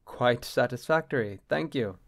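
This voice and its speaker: An actor playing a proud, jealous middle-aged bishop in a radio-style drama, curt and indignant. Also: male voice, mid-range voice, expressive, normal speed, vocal fry. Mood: testy. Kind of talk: radio-play style acting.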